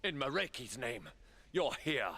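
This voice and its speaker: A man's voice: medium pitch, big pitch swings, unhurried, bright, forceful tone, exaggerated voice. A man playing an earnest, harried council statesman in a fantasy game, speaking with weary urgency. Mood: alarmed. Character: earnest, harried male council statesman under political pressure.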